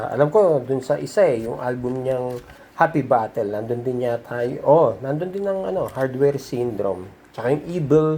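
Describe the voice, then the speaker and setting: Male Filipino vlog host, casual show-and-tell, unhurried with some fry, noticeable noise behind him. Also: mid-range voice, a little mellow. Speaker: host of a vintage audio video channel.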